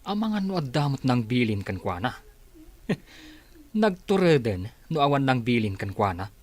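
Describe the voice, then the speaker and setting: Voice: medium pitch, very animated, normal speed. An actor playing a gossipy, scornful office employee in a radio drama, with an Ilocano Filipino accent.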